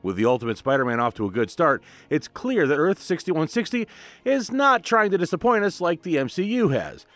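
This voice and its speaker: A man's voice: a little high, very animated, fairly quick, a bit bright, dry recording, faint music behind. A man narrating a comic book recap as host, mixing scripted storytelling with casual commentary.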